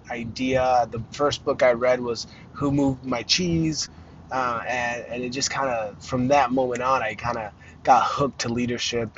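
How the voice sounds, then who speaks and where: A man's voice: mid-range voice, lo-fi sound, a little hiss or hum. A man around thirty, raised in Arizona, hosting a casual solo podcast and rambling with lots of fillers and restarts. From Arizona, United States. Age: 30s. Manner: rambling, many fillers and restarts, self-deprecating. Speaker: podcast host.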